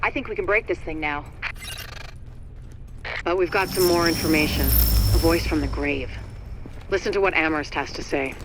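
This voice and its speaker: A woman's voice: low voice, moderate pace, long pauses, background noise, natural voice. Actress as businesslike female mission handler. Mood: urgent, resolute. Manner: urgent, businesslike. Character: earnest female agency handler briefing an agent. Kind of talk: video game mission briefing.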